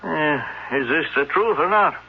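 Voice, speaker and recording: High-pitched, insistent small-town lawman. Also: lively intonation, measured pace, creaky, husky, heavily acted. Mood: stern, pressing. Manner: formal, pressing. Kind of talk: 1970s radio drama acting. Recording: muffled old radio broadcast.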